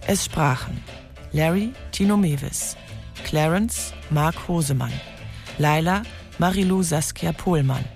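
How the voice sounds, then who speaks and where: Credits announcer for a radio play: scripted read, gravelly creak, faint music underneath. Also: mid-range voice, slow pace, a little mellow. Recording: music behind the voice.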